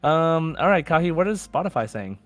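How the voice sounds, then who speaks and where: A man's voice: a little high, big pitch swings, fairly quick, a bit bright, soft background music. A man hosting a podcast in casual chat, reading out listener messages with informal, goofy asides. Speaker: podcast host.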